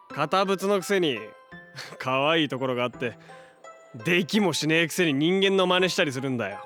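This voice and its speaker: A man playing a hot-headed, flustered young hero with a soft heart in a Japanese superhero audio drama, brash and slangy in delivery. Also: on the high side. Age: young adult.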